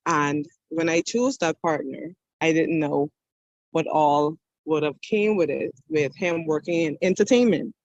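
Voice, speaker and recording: A woman in her twenties, a guest telling her own story candidly and hesitantly, with many pauses, over a remote call. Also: sits low, darkish tone, dry recording, dull audio. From Bahamas. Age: 20s. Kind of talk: personal storytelling in conversation.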